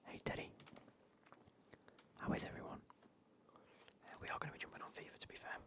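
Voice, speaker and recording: A man chatting in a whisper while streaming a game, with a cold coming on. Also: a little fast. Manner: whispered, chatty, repeats phrases. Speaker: gaming streamer. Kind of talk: whispered ASMR gaming livestream.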